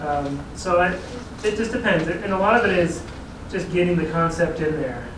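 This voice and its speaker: Man, planner briefing a council, easygoing, explanatory, echoey room, distant mic, steady background noise. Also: high voice, moderate pace. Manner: explanatory, easygoing, chuckles at own asides. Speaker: regional transportation planning staff presenter. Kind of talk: informal briefing to a city council. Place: city council chamber.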